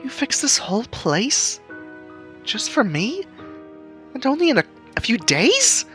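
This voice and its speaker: A man voicing a prickly, sarcastic but soft-hearted young woman in a narrated audio story, earnest under the exasperation. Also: very high-pitched, wildly swooping pitch, a little slow, faint music behind, voice pushed up, heavily acted. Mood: moved, amazed.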